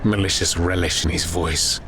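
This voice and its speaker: Male narrator for grim war fiction: low, grave, very even delivery over clearly audible ambience. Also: moderate pace, slight background noise. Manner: grave, solemn. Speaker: audiobook narrator. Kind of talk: dramatic scripted horror war narration.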